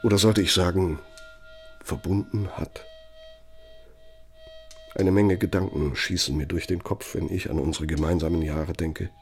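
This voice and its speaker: An actor playing a self-important ageing German architect who dictates in a pompous, deliberate, rhetorical manner, as in a radio play. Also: male voice, low voice, steady tone, a little mellow, soft background music. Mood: grave, measured.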